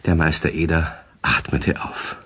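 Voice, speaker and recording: A man's voice: rumbling low, flat delivery, unhurried, bright, forceful tone, breathy edge, heavily acted. A man narrating a children's radio play as a genial storyteller, in scripted storybook style. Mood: storytelling.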